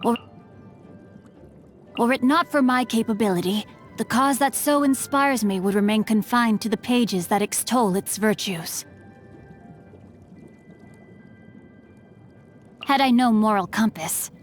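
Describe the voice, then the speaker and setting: A woman's voice: fairly high pitch, measured pace, natural voice. A woman voicing a courteous, bookish teenage boy martial artist in a video game, with refined, eloquent and formal diction. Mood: solemn, earnest. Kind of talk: video game character voice lines. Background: music.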